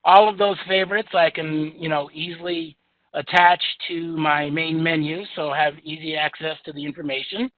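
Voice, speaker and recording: Guy demoing software, booming voice, kinda high, tinny phone-ish sound, dry. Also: little pitch movement, normal speed, no room sound. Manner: booming voice, easygoing with fillers. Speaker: software demonstrator. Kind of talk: software screen-share demo.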